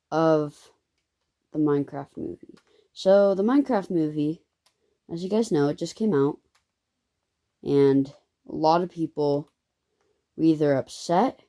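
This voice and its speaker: A young vlogger telling a rambling story in a casual way, full of ums and self-corrections. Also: male voice, on the high side, dark tone. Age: child.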